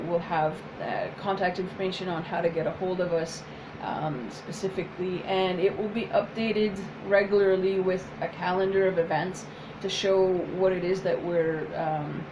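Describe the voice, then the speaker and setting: A woman whose first language is French, speaking as a psychotherapist in a soft, hesitant casual chat with many pauses. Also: fairly low pitch, steady tone, muffled recording, background noise. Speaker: psychotherapist, co-host.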